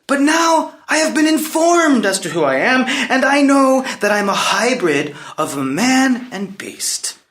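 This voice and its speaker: A man's voice: fairly high pitch, animated, normal speed, a bit bright. A man playing a proud, impetuous young prince in a dramatic play reading, declaiming emphatically and floridly. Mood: indignant, proud.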